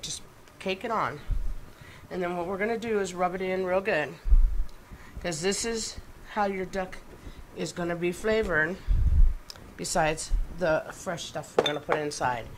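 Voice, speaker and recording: A middle-aged woman with a stuffed-up, nasal voice, chatting excitedly through a cooking demonstration in her home kitchen. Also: fairly low pitch, slight background noise. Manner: chatty and excitable, with many ums and asides.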